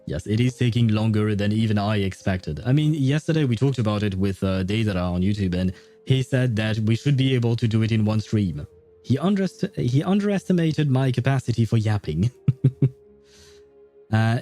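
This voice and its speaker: A man's voice: mid-range voice, warm tone. A man livestreaming a video game, chatting casually with viewers in rambling, self-deprecating banter. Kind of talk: casual livestream chat while gaming.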